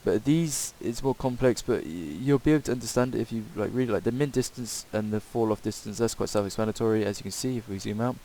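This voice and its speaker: A man with a lowish, very soft-edged, dark voice walks through software in a casual tutorial. He talks off the cuff, hesitant and loosely worded. The home microphone recording carries a faint hiss. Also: fast talker, hardly any pauses. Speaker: tutorial presenter.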